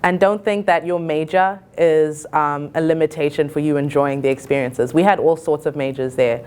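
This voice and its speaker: A woman's voice: sits low, steady tone, moderate pace, darkish tone, smooth. A young woman in her early twenties from Zimbabwe, reflecting on her experiences as a college student in a thoughtful, earnest way. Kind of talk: reflective interview-style talk.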